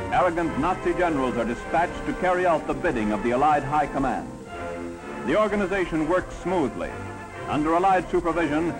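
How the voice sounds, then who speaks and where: Declamatory 1940s newsreel narrator, higher-pitched man. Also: poor audio. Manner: declamatory, dramatic, triumphal. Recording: vintage 1940s newsreel soundtrack. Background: newsreel music.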